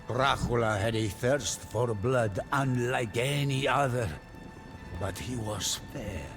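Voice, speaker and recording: Male actor as loyal old-world warrior, reverent, grave, theatrical, slow measured delivery, game cutscene storytelling, music underneath. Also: medium pitch, lightly acted. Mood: reverent awe. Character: loyal warrior recounting his fallen lord's saga, solemn and reverent. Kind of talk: dramatic scripted storytelling narration for a game cutscene. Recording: narration over clear background music.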